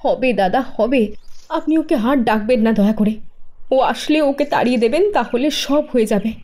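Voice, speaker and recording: Casting: actress as anxious young woman for horror cartoon; high, soft-edged mellow voice, earnest and pleading. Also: a little fast, lightly acted. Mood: pleading, uneasy. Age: young adult. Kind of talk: animated horror cartoon dialogue.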